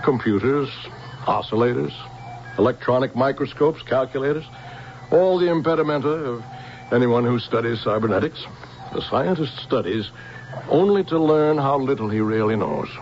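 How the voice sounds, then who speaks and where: An actor playing a wary, courteous old scientist in a radio drama, hesitant and evasive in manner. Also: male voice, medium pitch, expressive, moderate pace, close to an everyday voice. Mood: guarded. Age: older. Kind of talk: American old-time radio drama acting. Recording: muffled vintage radio broadcast, faint hiss.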